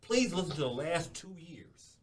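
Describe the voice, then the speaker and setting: A man's voice: fairly high pitch, sing-song, moderate pace. A man in a podcast discussion, talking emphatically and outspokenly. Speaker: podcast participant. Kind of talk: casual discussion. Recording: distant mic with faint background noise.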